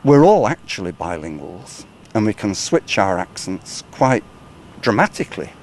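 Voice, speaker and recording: A man speaking as an academic guest, explaining in a measured way with frequent small hedges. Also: fairly low pitch, sing-song, slight background noise. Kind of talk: expert explanation.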